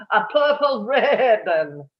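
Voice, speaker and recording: A man as a very high-voiced, wry Sicilian companion. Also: sing-song, very slow, lo-fi sound, full character voice. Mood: amused. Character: easygoing Sicilian countryside companion, teasing and wry. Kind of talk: live table read of a film script.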